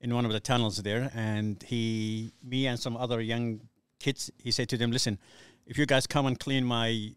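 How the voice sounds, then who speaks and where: A man's voice: mid-range voice, little pitch movement, moderate pace, hardly any pauses, smooth, dry recording. A middle-aged man from Somalia, an English speaker, telling his story casually and hesitantly as an interview guest. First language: not English. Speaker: interview guest, youth community worker.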